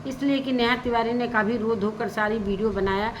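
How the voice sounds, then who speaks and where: Woman, high voice, casual gossip-vlog commentary, emphatic and repetitive, faint ambient noise. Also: fairly even intonation, a little fast. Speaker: vlogger commentator. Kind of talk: casual spoken commentary on social media gossip.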